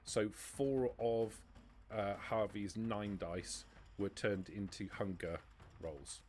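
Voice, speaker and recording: A man running a tabletop roleplaying game as game master, explaining rules and narrating in halting, self-correcting phrases. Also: fairly low pitch, steady tone, a little mellow. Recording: noisy hobby livestream audio.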